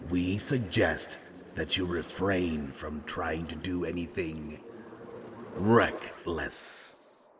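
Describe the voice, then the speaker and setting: Menacing monster for audio roleplay, super deep halting voice, sad underneath, noisy background. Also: male voice, exaggerated voice. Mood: menacing, teasing. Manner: slow, halting, ominous, speaks of himself as "we". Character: fragmented male monster, servant and unmaker, menacing yet regretful. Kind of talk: scripted roleplay audio drama.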